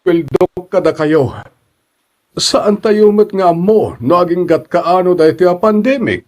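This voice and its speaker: A man's voice: high-pitched, lively intonation, measured pace. A man playing a kindly, regretful eatery boss in an Ilocano radio drama, apologetic and considerate.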